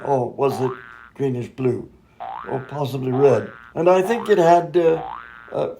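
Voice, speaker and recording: An actor playing a vague, amiable, absent-minded doctor in a comedy radio play, dithering and trailing off. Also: male voice, mid-range voice, creaky, dark tone, slight background noise, low-quality recording.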